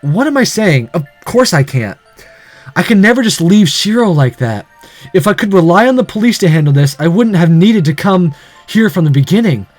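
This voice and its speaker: A man's voice: sits high, very animated, normal speed, dry recording, soft background music. A man playing a determined but self-doubting young man on a rescue in a visual novel, tense and earnest. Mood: resolute.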